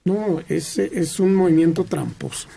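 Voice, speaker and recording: Gravelly-voiced Mexican radio panelist. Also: male voice, fairly high pitch, measured pace, mellow, slight background noise.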